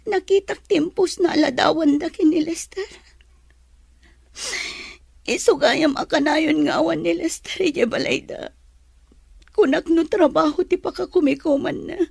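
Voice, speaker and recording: A woman's voice: sits high, measured pace, gravelly, pauses often, darkish tone, dry recording, clean studio sound, full character voice. A woman playing a hurt, betrayed young mother in an audio drama, plaintive and reproachful. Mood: tearful, hurt. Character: hurt, betrayed young mother who just gave birth, Megan. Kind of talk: Ilocano audio drama acting.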